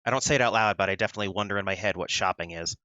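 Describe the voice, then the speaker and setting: A woman voicing her werewolf character in a deep, clipped, halting way. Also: fairly bright tone, no echo, highs cut off. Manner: clipped broken speech in character.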